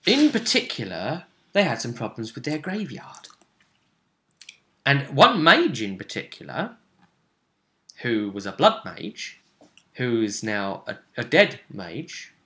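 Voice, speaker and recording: Bright-voiced man telling a game story. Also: medium pitch, very animated. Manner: halting, repeats phrases as the story builds. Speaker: game master recapping a tabletop session. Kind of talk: casual spoken storytelling.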